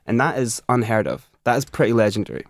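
A man's voice: mid-range voice, fast, no echo. Guy musician being interviewed, punchy and emphatic, dry close sound. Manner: animated, emphatic, restarts often. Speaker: musician guest. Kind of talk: interview conversation.

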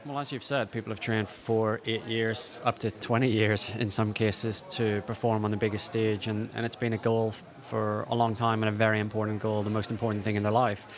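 Flat, low-voiced male expert guest. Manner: calm, measured explanations. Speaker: sports psychologist, studio guest.

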